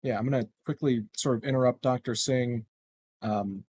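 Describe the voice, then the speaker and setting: A man's voice: mid-range voice, moderate pace, mellow, slightly rough, no room sound. A man playing a tabletop roleplaying game, casually and tentatively feeling his way through questions and actions. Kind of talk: casual improvised game discussion.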